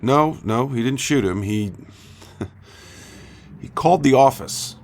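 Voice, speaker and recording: A man playing a gentle, middle-aged local radio reporter in an audio drama, halting and measured in his talk. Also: medium pitch, animated, creaky, slight background noise. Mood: sheepish, hesitant. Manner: halting, soft-spoken, measured. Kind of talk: naturalistic audio drama acting.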